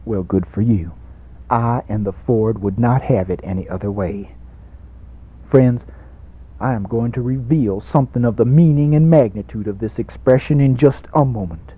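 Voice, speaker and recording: A man's voice: mid-range voice, moderate pace, husky, natural voice. On a thin, narrow-band old college radio recording, a man acts out a grandiose, money-hungry radio preacher. He is pompous and emphatic, repeating phrases in a swelling sermon cadence for a comic radio play. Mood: reassuring.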